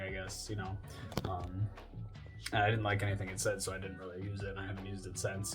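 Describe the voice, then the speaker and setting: A young man talking casually as a fitness vlogger, rambling with lots of fillers and self-corrections. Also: on the low side. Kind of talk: casual rambling vlog talk.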